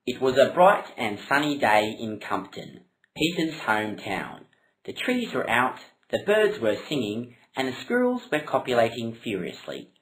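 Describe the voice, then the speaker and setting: A woman narrating a comic puppet story in a deadpan storytelling style. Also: extremely low pitch, normal speed, low-quality recording.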